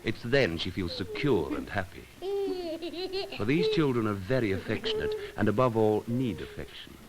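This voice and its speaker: A man reading scripted narration for an educational film, in a measured, solemn and poetic way with deliberate pauses. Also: on the low side, sing-song, noisy background. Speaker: documentary narrator.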